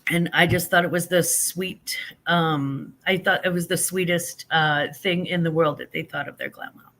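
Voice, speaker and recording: A woman's voice: fairly low pitch, fairly even intonation, normal speed, fairly bright tone. An older woman, a grandmother, hosting a casual livestream, chatting in a rambling, self-deprecating way while answering viewers, a little stuffy from allergies. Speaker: livestream host.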